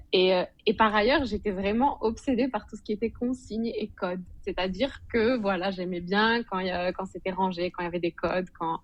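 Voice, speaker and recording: A woman's voice: mid-range voice, no echo, muffled recording. A woman from Morocco speaking as a podcast guest, telling her story in an informal, conversational way with bits of English slang. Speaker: podcast guest, digital consultant and blogger.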